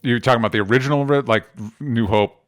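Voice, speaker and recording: A man's voice: medium pitch, fast, clean tone. Emphatic male podcast host chatting casually. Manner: emphatic, sweary. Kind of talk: casual opinionated movie chat.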